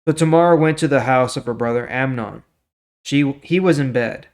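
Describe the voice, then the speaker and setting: A man's voice: mid-range voice, moderate pace, darkish tone. A man hosting a Bible reading, moving between plain reading and casual, explanatory commentary. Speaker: host of a daily Bible reading series. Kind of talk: scripture reading with informal commentary.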